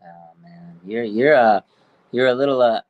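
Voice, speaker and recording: A man in his late thirties talks sports casually as a co-host. His pitch is average for a man, and he is slangy, blunt with opinions and prone to swearing. The sound is very dry. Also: unhurried, dry recording, phone-quality sound. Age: late 30s.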